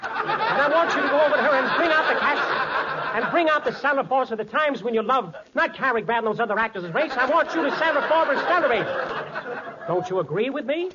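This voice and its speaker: A man's voice: very high-pitched, lively intonation, over a noisy crowd, very noisy. A man playing a fussy film director who slips earnest gibberish into his sentences, in 1940s radio comedy. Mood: indignant. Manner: rapid double-talk, nonsense slipped into sentences. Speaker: comic supporting actor. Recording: muffled 1940s radio recording, studio audience.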